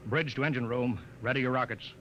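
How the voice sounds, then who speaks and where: An American man playing a brisk, weary, authoritative spaceship captain in a classic radio drama. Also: medium pitch, a bit bright, close to an everyday voice. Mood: brisk, commanding. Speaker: actor. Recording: muffled, noisy vintage radio recording.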